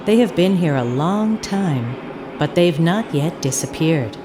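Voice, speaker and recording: An actress playing a haunted audio tour guide in a fiction monologue, speaking deliberately and haltingly, with insistent repetition. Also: female voice, low-pitched, expressive, noisy background. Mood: hushed, insistent. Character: eerie, haunted woman guiding an audio tour. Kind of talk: dramatic second-person audio fiction monologue.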